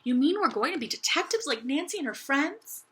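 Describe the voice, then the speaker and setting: A woman's voice: high voice, lively intonation, a little fast, fairly bright tone, pitched above the performer's own voice. A woman voicing a bold, curious young girl doll in a children's story, with an eager, wide-eyed manner. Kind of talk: children's audiobook character voice.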